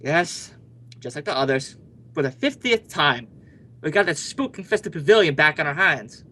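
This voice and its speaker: A man's voice: a little high, lively intonation, normal speed, pushed and bright. A male actor playing a brash, stubborn young husband in an old-time radio comedy, emphatic and blustering. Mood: exasperated.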